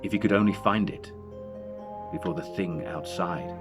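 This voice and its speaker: A man narrating a horror story in a dramatic, suspenseful and deliberate way. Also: sits low, over loud music. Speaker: story reader.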